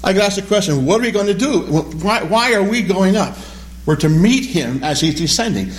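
A man teaching a Bible study class, animated and questioning, repeating key words as he explains them. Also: sits high, normal speed. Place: classroom or church hall with a small group.

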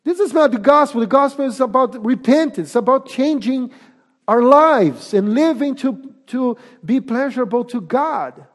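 A man with a Portuguese accent preaching a sermon, speaking deliberately and emphatically with pauses. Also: extremely high pitch, lively intonation. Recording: long reverb of a hall.

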